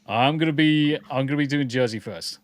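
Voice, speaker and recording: A man's voice: medium pitch, brisk, no echo. A man co-hosting a casual podcast livestream, joking and swearing freely while greeting viewers in chat. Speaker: podcast co-host.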